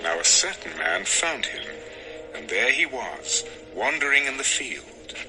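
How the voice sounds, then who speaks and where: A man's voice: medium pitch, big pitch swings, measured pace, vocal fry, poor audio. A man narrating a dramatized Bible story as a solemn, scripted storyteller. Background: music.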